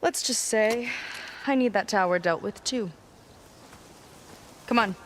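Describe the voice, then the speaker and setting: An actress playing a bold young scout in a video game, speaking in a brisk, assertive manner. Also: female voice, mid-range voice, a little hiss or hum, lightly acted. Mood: probing, confident. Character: bold young woman warrior, brisk, self-assured scout. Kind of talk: naturalistic video game cutscene acting.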